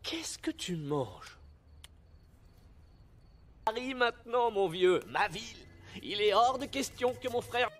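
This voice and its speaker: An actor dubbing a proud, brotherly young male rat in an animated film, eager and emphatic. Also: high-pitched, slow pace, pauses often, music in the background. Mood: indignant, proud. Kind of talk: animated film dub acting.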